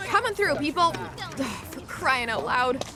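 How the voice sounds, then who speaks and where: A woman's voice: sits high, expressive, unhurried, a crowd in the background. A woman playing a sarcastic, blunt young wheelchair-using tour guide in an audio drama. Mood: exasperated, sarcastic. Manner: sarcastic, outspoken. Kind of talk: audio drama acting, conversational.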